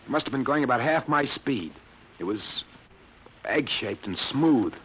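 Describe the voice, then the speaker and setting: An actor in an old-time radio drama playing a shaken, earnest young test pilot, halting and insistent. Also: male voice, mid-range voice, animated, husky. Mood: shaken, dazed. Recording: muffled old radio broadcast recording.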